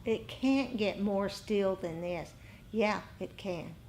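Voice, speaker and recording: Slow-paced woman teaching meditation, reflective. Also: mid-range voice, a little mellow, a little hiss or hum. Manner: reflective, halting, with pauses and repeated phrases. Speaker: meditation teacher leading a group. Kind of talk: informal dharma talk with group discussion.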